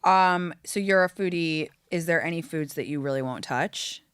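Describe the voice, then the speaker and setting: A woman's voice: low voice, moderate pace, fairly bright tone, smooth, dry recording. A woman from London hosting a podcast, chatting casually about pop culture in an emphatic, gossipy way. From London, England. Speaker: podcast host.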